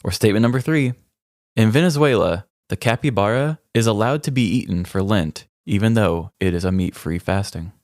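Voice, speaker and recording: A man's voice: lowish voice, brisk, a little mellow, dry recording, clean studio sound. A man co-hosting a podcast, chatting casually and reading out fun facts with a playful, wry, cheeky manner. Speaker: podcast co-host. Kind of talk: casual comedic chat with read-out fun facts.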